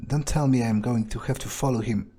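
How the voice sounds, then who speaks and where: A man with a husky voice improvising in-character commentary on a game, casual and sweary as he thinks aloud. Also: mid-range voice, a little fast, warm tone. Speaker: gamer playing in character.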